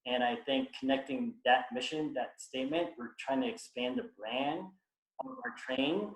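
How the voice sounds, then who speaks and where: A man from the United States talks earnestly on a video call, halting and restarting as he thinks aloud. His voice sits at an average pitch. The room around him is echoey. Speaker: guest speaker. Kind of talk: reflective personal talk on a video call.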